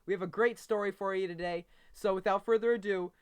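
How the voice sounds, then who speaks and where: A man's voice: high voice, fairly even intonation, brisk, smooth. A man hosting an informal news explainer, telling the story in a casual, simplified way. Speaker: host of a homemade news explainer. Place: bedroom at a computer desk.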